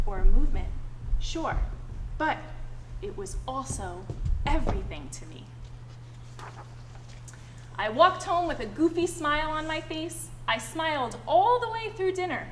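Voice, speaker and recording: A woman, a teacher, reading a picture book aloud expressively and talking to young children with questions and simple explanations. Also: sits high. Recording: echoey room, clearly noisy background.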